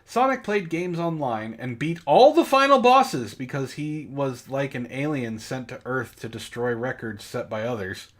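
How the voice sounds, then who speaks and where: A man's voice: mid-range voice, lively intonation, moderate pace, hardly any pauses. Mock-serious man reading aloud. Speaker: podcast co-host and story reader. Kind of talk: casual comedic read-aloud of amateur fiction with asides.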